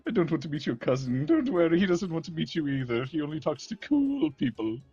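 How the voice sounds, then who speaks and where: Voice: medium pitch, big pitch swings, a little fast, dark tone, slightly rough, no echo, dull audio, soft background music. Someone playing a cackling villain barber. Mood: gleeful menacing. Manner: archaic pompous speech, frequent cackles.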